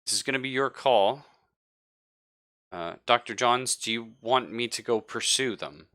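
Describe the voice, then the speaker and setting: A man voicing a brisk, dutiful female spaceship crew member in a tabletop game. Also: medium pitch, pushed and bright, no echo, studio quality, pitched above the performer's own voice. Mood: businesslike.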